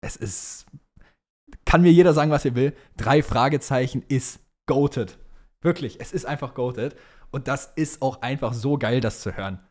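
A man's voice: medium pitch, moderate pace, darkish tone. Young man in casual podcast chat. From German, partly raised in Dubai. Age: young adult. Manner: youth slang, emphatic opinions. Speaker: podcast co-host.

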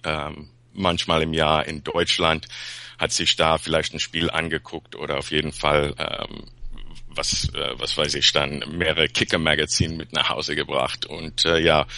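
A man's voice: very deep voice, creaky, bright, forceful tone, dry recording. A man from Peru, a podcast guest chatting casually in German, with many fillers and restarts. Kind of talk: casual chat.

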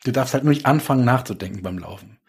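A man chats in a casual discussion with a husky, slightly rough voice of middle pitch. He speaks haltingly, often circling back to rephrase. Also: expressive. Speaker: thriller author on a panel.

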